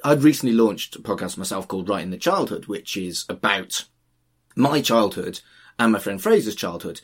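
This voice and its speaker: A man's voice: fairly low pitch, breathy edge. A man in his forties hosting a podcast interview, enthusiastic and playful. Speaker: podcast host. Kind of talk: casual interview.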